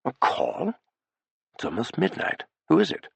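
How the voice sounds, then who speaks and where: A man's voice: fairly high pitch, wildly swooping pitch, unhurried, gravelly, slightly rough, no room sound, pitched above the performer's own voice, heavily acted. A man voicing a weary aristocratic male agent who turns urgent and clipped when alarmed.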